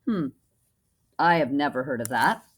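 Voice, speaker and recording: A woman teacher reading a children's story aloud expressively, pausing to put questions to the listener. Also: rumbling low, animated, slightly rough.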